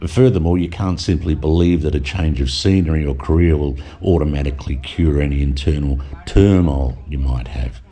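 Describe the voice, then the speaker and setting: A man's voice: extremely low pitch, moderate pace, few pauses, dark tone. A middle-aged man reading a reflective voice-over with wry asides. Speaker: vlogger narrator.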